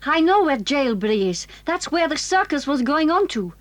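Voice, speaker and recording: A bold schoolgirl who takes charge of everyone. The voice is high and very lively in pitch. The delivery is brisk, bossy and urgent, in the manner of children's radio drama. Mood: decisive. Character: bold, decisive schoolgirl raised in a circus.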